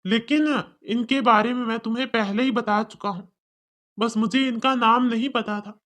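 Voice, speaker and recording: Humble, sorrowful older father for cartoon drama, played by a woman, pitched below narrator but still highish. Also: fairly even intonation, moderate pace, fairly bright tone, natural voice. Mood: solemn. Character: older fathers, humble, regretful, gently moralizing men.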